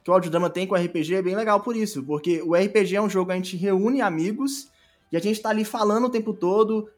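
A Brazilian man talking casually as a podcast guest about his creative work, enthusiastic and often repeating phrases as he explains. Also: sits high.

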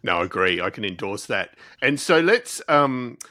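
A man hosting a conversational interview, speaking haltingly and in an agreeable, approving way. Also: mid-range voice, very animated, sharp tone, dry recording. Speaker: interview host.

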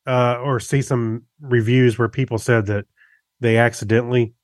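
A middle-aged man speaks as a casual podcast co-host. His voice sits at an average male pitch, and he feels his way haltingly through sentences. The recording is dry, without room sound. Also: level tone, dry recording. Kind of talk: casual chat about gadgets.

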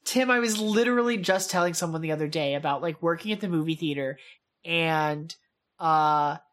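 A woman co-hosting a podcast in casual chat, with a gushing, excitable way of talking. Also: sits low, normal speed. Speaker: podcast co-host.

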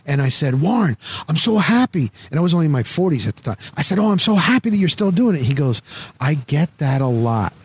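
Male host, middle-aged, higher-pitched voice, effusive and emphatic sports talk delivery with punchy repeats. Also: sing-song, fairly quick, slightly rough. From New Jersey, USA. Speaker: interview show host. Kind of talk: enthusiastic sports talk and interviewing.

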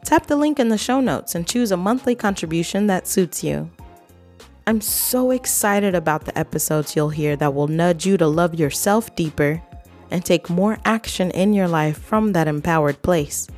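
A woman's voice: fairly low pitch, normal speed, darkish tone, faint music behind. A woman hosting a travel podcast, asking her guest questions in a friendly, conversational way. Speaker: podcast host. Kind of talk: podcast interview.

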